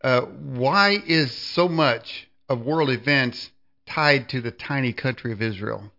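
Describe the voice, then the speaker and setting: A man hosting an interview, asking long conversational questions haltingly as he searches for words. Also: medium pitch, vocal fry, dull audio. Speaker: interview host.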